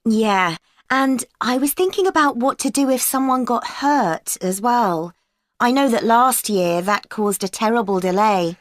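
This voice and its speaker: A woman's voice: a little high, measured pace, no room sound, natural voice. Actress doing a keen young student girl, agreeable, laughy, dry studio sound. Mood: earnest. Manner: eager, agreeable, laughs often. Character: keen young female events-management student. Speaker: student planning a work placement. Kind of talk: scripted exam dialogue.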